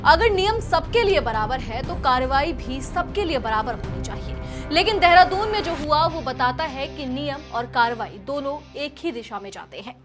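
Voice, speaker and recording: High-pitched woman presenting news commentary. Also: expressive, normal speed, over music. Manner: emphatic, rhetorical questioning delivery.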